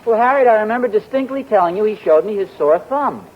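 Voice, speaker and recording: Man playing a chuckling radio-sitcom husband, easygoing, teasing, lively pitch, muffled old broadcast, audience laughter, hiss. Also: quick pace. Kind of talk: 1940s radio sitcom acting.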